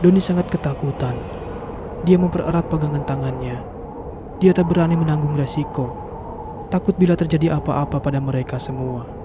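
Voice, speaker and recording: Higher-pitched male horror narrator, noisy background. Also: moderate pace, pauses often. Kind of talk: scripted horror story narration.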